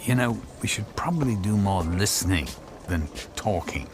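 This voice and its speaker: A man's voice: sits low, animated, normal speed. An actor playing an anxious, cautious husband in a radio drama, hesitant and stammering as he pleads. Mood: worried, pleading. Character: anxious, cautious husband, reluctant inventor of a device.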